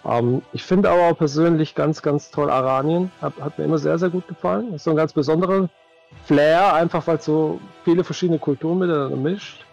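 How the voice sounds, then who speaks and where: A man's voice: fairly high pitch, brisk, creaky, darkish tone, lo-fi sound. A middle-aged man chatting casually and reflectively as an interview guest on a video call, often hedging as he thinks. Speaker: interview guest, tabletop game master. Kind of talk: casual chat. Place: home room, indoors, on a video call. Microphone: headset. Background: faint music.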